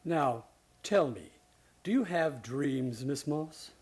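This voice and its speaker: An actor playing a probing, dismissive hospital psychiatrist in a radio play, with a clinical, insistent manner. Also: male voice, fairly high pitch, lively intonation, slow pace, darkish tone, breathy edge, exaggerated voice.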